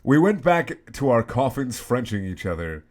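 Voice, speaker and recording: A man giving a comedic dramatic reading of a story in a halting, mock-serious way. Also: medium pitch, sing-song. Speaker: reader.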